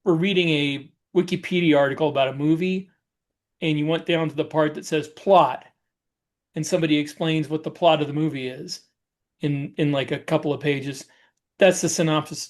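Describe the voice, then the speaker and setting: A man co-hosting a writers' podcast, explaining his process in a casual, folksy and deliberate way. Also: a little high. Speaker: podcast co-host and novelist.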